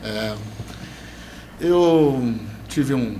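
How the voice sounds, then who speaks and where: A man's voice: mid-range voice, animated, drawn-out words, warm tone, a little hiss or hum. A man joining a podcast as a guest, speaking in a cordial, formal way. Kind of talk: casual podcast chat.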